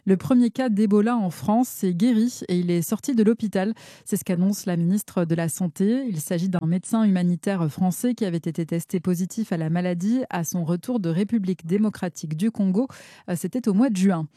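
A woman's voice: medium pitch, fairly quick, dark tone, no echo, clean studio sound. A woman reading a scripted radio news bulletin as a newsreader.

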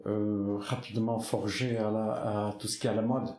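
A man whose first language is Basque, reminiscing slowly about his childhood in an interview, with many restarts and hesitations. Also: fairly low pitch, flat delivery, dark tone, roomy sound. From French Basque Country. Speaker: musician interviewee.

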